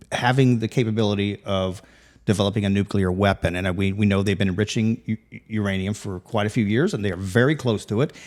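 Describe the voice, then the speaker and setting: Male talk host, lowish register, halting and wordy when interviewing. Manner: halting, with frequent repeated words. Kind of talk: interview, asking long questions.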